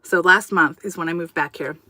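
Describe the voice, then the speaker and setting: Woman, japanese was her first language, rambly self-correcting livestream chat from her rv, bright tone. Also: fairly low pitch, a little fast, no room sound. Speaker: livestream host. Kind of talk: casual livestream chat, reacting and reading comments. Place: inside her RV. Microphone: camera on a tripod.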